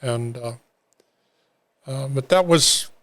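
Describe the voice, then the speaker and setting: An older man telling his own recovery story in an interview, talking haltingly with many fillers and restarts. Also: medium pitch, fairly bright tone. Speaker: interview guest. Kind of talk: reflective personal story.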